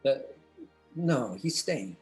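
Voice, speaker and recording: A man's voice: mid-range voice, big pitch swings, slow pace. A guarded, polite teenage boy who stalls and hesitates with uh's, read by a man at a table read. His voice sits in the middle range, with faint music underneath. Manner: hesitant, with frequent "uh".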